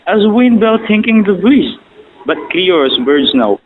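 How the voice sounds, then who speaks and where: A man's voice: sits high, big pitch swings, unhurried, narrow, tinny audio, slight background noise. A man playing a courtly, romantic emperor in an audio drama, speaking formally and poetically. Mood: tender, wooing. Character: romantic emperor, courtly, tender, dreamy ruler. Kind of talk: stage-style audio drama acting.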